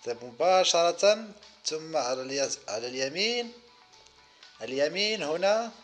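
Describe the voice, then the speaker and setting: A man hosting a children's gaming video, giving simple commentary in short, clear-cut phrases for young viewers. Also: fairly high pitch, lively intonation, normal speed, fairly bright tone, soft background music. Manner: counts aloud, speaks in short simple phrases.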